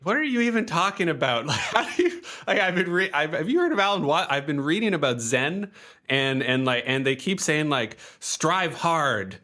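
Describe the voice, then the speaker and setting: A man's voice: a little high, big pitch swings, normal speed, sharp tone, voice pushed up. A man playing a skeptical, frustrated nephew in a tabletop game, stammering and exasperated. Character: skeptical, frustrated nephew learning Zen-like tricks, male.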